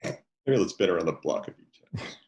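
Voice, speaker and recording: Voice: low-pitched, big pitch swings, brisk, a little mellow, breathy edge. A player at a tabletop game who makes dry jokes. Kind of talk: casual tabletop play.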